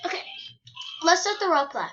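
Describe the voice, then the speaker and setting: A woman's voice: very high voice, sing-song, a little fast, a bit bright. A young girl casually hosting a game roleplay video, recorded on a cheap home microphone. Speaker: video host and player. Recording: dull, hissy home microphone.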